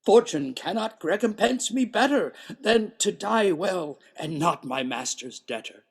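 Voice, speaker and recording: An actor playing an elderly, loyal and anxious male servant in a Shakespearean radio play, earnest and halting in old-fashioned verse. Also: medium pitch, lively intonation. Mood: devoted, earnest.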